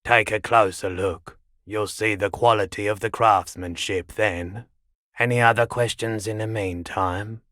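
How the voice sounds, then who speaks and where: A weary, haggard man who keeps a pawnshop for a video game. His voice sits on the low side and moves very slowly. He is measured and resigned, and the recording is dry and close. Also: steady tone, a bit bright, dry recording. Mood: dry, salesmanlike. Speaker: shopkeeper. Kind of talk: video game character dialogue.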